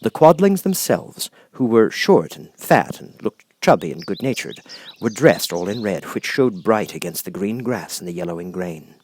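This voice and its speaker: A man reading a children's story aloud as an expressive audiobook narrator. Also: on the low side, moderate pace.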